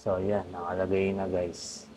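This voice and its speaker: A man giving a casual step-by-step hands-on tutorial, often halting as he searches for words. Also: sits low, completely flat delivery. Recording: clearly noisy background ambience.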